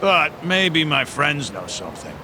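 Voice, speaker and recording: A man's voice: a little high, big pitch swings, a little slow, background noise. A man voicing a folksy, slangy homeless man trading tips and favors in a video game cutscene. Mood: guarded, casual.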